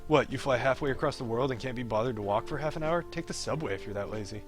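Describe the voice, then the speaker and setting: A man's voice: medium pitch, quick pace, natural voice. Guy reading out a cocky young gamer dude, teasing, breezy, visual novel dialogue, music behind. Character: wry, cocky young male pro gamer, teasing storyteller. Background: game music.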